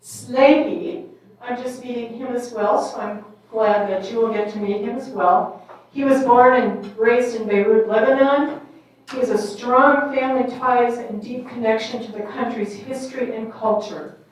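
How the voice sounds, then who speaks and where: A woman moderating a public forum, reading out speaker introductions with pauses and fillers. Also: fairly high pitch, fairly even intonation. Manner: reads bios, with pauses and fillers. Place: large echoey meeting hall. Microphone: distant microphone. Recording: echoey hall, mic far off.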